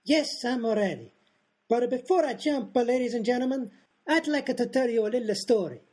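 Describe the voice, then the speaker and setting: A man performs a vain Italian high diver for a radio comedy. The voice sits high with a husky edge, and the manner is grand and self-important. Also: normal speed, darkish tone. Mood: pompous. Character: pompous vain Italian high diver, male stage daredevil. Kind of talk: old-time radio comedy acting.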